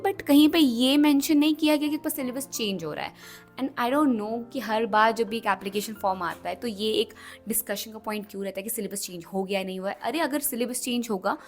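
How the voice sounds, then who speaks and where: A young woman with an Indian accent speaks in a fairly high voice that is a little hoarse, over faint music. She explains things like an educator on a casual vlog, chatty and emphatic, reassuring her student viewers as she moves between two languages. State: slightly hoarse throat. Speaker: YouTube educator for exam aspirants. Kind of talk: casual explainer vlog in mixed Hindi and English.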